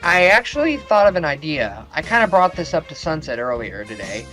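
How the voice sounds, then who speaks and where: A woman voicing a low-pitched earnest teen boy. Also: very animated, a little fast, over music. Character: teenage boy student, earnest.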